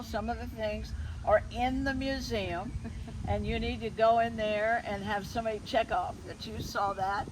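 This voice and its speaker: A woman hosting a casual interview in a chatty, informal way. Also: medium pitch. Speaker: interviewer, host. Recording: noisy outdoor recording, street and traffic sound.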